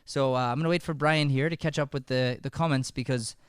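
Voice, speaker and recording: A man's voice: mid-range voice, steady tone, fast talker, clean tone, dry recording, clean studio sound. A man casually hosting a live improv show into a podcast microphone.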